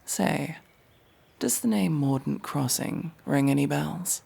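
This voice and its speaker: A man playing a cold, sardonic masked elf mercenary in a fantasy audio roleplay, menacing and dryly sarcastic, with a gravelly, husky voice. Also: a little high, darkish tone, exaggerated voice. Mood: cold, probing. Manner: slow, menacing, dry sarcasm.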